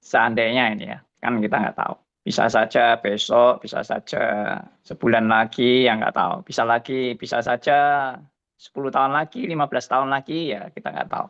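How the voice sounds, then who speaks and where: A pastor in his seventies giving a slow, musing spoken testimony, often tagging his phrases with a soft "ya". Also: mid-range voice, muffled recording. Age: 70s.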